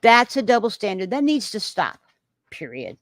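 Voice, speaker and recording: A woman's voice: medium pitch, sing-song, normal speed, fairly bright tone, dry recording, studio quality. A woman with a Texan accent hosting a casual livestream, reading out viewer comments and reacting in a rambling way with many filler sounds and restarts.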